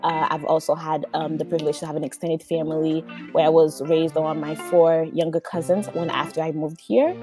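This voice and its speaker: Low-voiced young woman from Haiti. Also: fairly even intonation, brisk, hardly any pauses, over music. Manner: polite, many ums. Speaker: guest. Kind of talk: casual interview.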